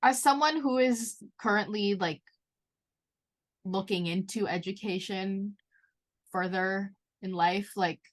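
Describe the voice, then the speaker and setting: A young woman co-hosting a casual podcast. She speaks bluntly and with emphasis, dropping "like" all through, at a middle pitch for a woman. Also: slow, long pauses. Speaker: podcast co-host. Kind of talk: casual chat.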